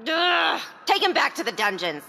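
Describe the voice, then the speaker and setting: Shrill, haughty arena ruler. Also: female voice, big pitch swings, moderate pace, vocal fry, sharp tone, exaggerated voice. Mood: haughty disgust. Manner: haughty, commanding. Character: imperious, disdainful arena ruler barking orders. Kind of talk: audio drama acting. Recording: long echoing hall reverb.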